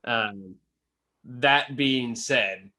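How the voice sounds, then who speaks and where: A man's voice: medium pitch, very slow, pushed and bright. A man co-hosting a casual movie review, speaking informally with an opinionated, sardonic delivery. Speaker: film reviewer and podcast co-host.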